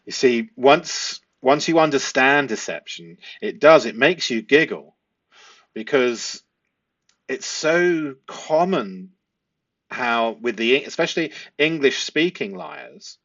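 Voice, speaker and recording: Male commentator, mid-pitched voice, analytical and stop-start delivery, close dry sound on slightly muffled audio. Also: expressive, takes it slowly, a bit bright, no echo. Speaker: host, statement analysis commentator. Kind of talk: analytical commentary reading transcript excerpts aloud.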